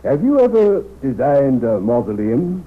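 A man's voice: medium pitch, sing-song, measured pace, slightly rough. An actor playing an aging, wealthy, dignified man in 1930s radio drama, solemn and formal in delivery. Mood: grave, solemn. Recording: muffled, hissy 1930s radio recording.

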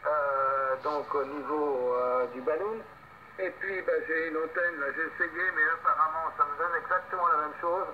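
A tinny radio signal carries an older man with a slightly high voice. He chats casually as an amateur operator, in halting, hesitant phrases, with faint noise behind. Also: fairly even intonation. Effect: two-way radio. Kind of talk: casual ham radio exchange. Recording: tinny noisy radio link.

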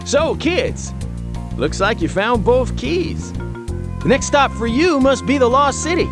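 High-voiced, jovial cartoon pilot. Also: male voice, expressive, slow pace, music in the background, full character voice. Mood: cheerful. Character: jovial, helpful adult male bush pilot. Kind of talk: animated cartoon game dialogue.

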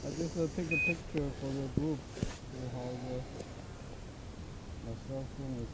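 A man's voice: medium pitch, steady tone, measured pace. A man, a target shooter, chatting casually outdoors on a rifle range. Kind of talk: casual chat. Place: outdoor rifle range, open grassy field. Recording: loud outdoor noise with clicks.

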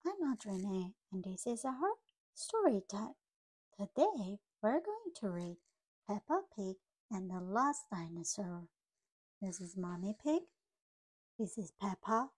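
Lady doing storytime for little kids, reads a picture book slow n deliberate, simple phrases. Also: medium pitch, husky. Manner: simple, deliberate phrasing with questions to young listeners. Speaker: children's storytime reader.

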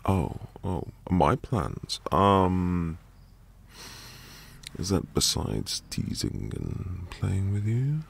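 Man acting as teasing dominant boyfriend, ASMR roleplay, low voice, heavy vocal fry, breathy murmurs, intimate hums. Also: big pitch swings, drawn-out words, full character voice. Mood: playful, teasing. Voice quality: breathy, gravelly murmur often dropping to whisper. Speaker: audio roleplay performer.

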